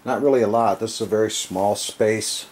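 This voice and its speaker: Low-voiced man, salty and offhand. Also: steady tone, normal speed, a little mellow, a little hiss or hum. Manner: salty, folksy, self-deprecating slang. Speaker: video creator giving a room tour. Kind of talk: casual offhand vlog narration. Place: small home gun room, indoors. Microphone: handheld camera, operated by the speaker.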